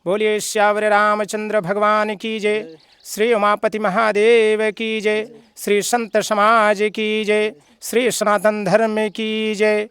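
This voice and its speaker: A man's voice: high voice, completely flat delivery, a bit bright, smooth, no echo. A man in his thirties who sings devotional songs, speaking formally and devoutly. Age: 30s. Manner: devout, formal, slow drawn-out chanting. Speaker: devotional singer. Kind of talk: interview answers and bhajan singing.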